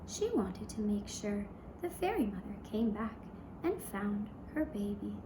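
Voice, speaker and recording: A woman narrating a fairy tale for a puppet show in a gentle, hushed, deliberate storytelling manner. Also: mid-range voice, sing-song, echoey room, background noise. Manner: slow, hushed, deliberate storytelling in short phrases.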